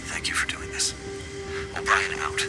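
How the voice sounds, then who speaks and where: A man's voice: normal speed, whispered, natural voice. A man playing a guarded, dutiful team commander in a video game, speaking in terse, formal radio phrases. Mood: guarded, subdued. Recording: game music behind.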